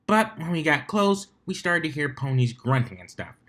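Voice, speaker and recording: Man acting a female pegasus flyer, brash, gossipy, slangy, lifted pitch, excitable storyteller, cartoon fan fiction. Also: moderate pace. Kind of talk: amateur fan fiction character reading.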